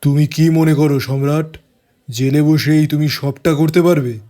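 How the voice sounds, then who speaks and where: An actor playing a shrewd, stern police inspector in an audio drama, measured and authoritative, dropping in English phrases. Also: male voice, on the high side, fairly even intonation, warm tone, natural voice. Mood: measured, probing. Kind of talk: audio story acting.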